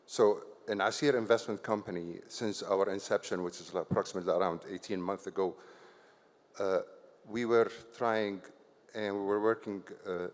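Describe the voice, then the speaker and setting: Panel speaker, man, lowish voice, halting delivery, long hall reverb. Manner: hesitant, many fillers and restarts, says "okay" often. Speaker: investment company chief executive. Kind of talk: panel discussion answer. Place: conference hall on stage.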